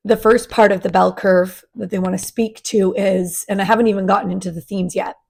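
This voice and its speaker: Raspy woman recovering from a cold. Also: mid-range voice, fairly even intonation, fairly quick. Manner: gentle, chatty, with many fillers and restarts. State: raspy from a sinus virus. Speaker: spiritual coach and channel host. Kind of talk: casual monthly energy forecast.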